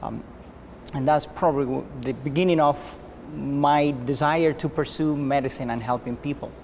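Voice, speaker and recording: A man from Cuba, a physician guest, answering interview questions in an earnest, measured way with frequent restarts. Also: fairly high pitch, steady tone, slight background noise.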